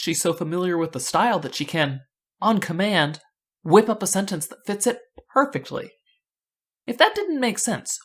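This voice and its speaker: A woman's voice: medium pitch, slightly rough. A woman narrating scripted fan analysis as a video host, with a wry, rhetorical delivery.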